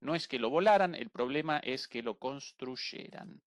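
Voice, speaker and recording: A man's voice: medium pitch, expressive, moderate pace. A man hosting a live political talk show, speaking conversationally. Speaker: host.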